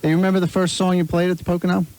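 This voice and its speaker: A man's voice: sits high, level tone, very fast, darkish tone, dry recording, poor audio. An American man speaking casually as a radio show host, interviewing a guest from the studio.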